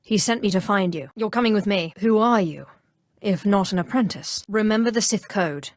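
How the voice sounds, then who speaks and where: An actress playing a cold, haughty, cunning female Sith sorceress in a video game, speaking in a composed, imperious and deliberate way. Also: medium pitch, dry recording, lightly acted. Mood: firm, imperious. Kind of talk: scripted role-playing game dialogue.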